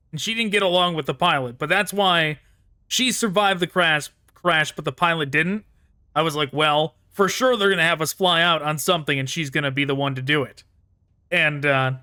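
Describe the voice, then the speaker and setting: A man's voice: fairly high pitch, sharp tone, dry recording, clean studio sound. A man giving casual gameplay commentary, rambling and thinking aloud as he plays. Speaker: gaming YouTuber.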